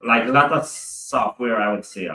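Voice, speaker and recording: A man talking on a podcast in an animated, emphatic way and swearing casually. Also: mid-range voice, normal speed. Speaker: podcast guest, cybersecurity specialist. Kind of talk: casual chat. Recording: echoey room, mic at a distance.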